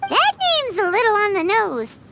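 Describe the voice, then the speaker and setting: Tiny squeaky fairy, extremely high. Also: female voice, big pitch swings, normal speed, gravelly, very noisy, full character voice. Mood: wry. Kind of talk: video game character acting.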